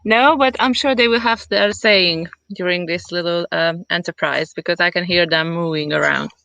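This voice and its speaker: A woman from Hungary with a Hungarian accent, chatting as an interview guest in a halting way as she searches for words. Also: fairly low pitch, creaky, a bit bright, no echo. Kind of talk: casual conversational interview. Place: at home in the countryside.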